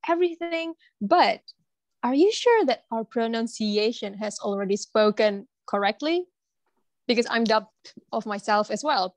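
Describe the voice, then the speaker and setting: A woman's voice: on the high side, no room sound. Cheerful host woman, dry sound. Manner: cheerful, chatty moderator. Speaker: workshop host. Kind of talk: hosting an online class.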